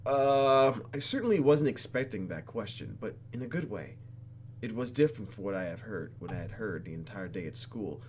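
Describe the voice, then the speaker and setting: A man's voice: on the low side, expressive, moderate pace, darkish tone. A man hosting a gaming video, reading game text aloud and adding theatrical, jokey asides, with some noise behind him.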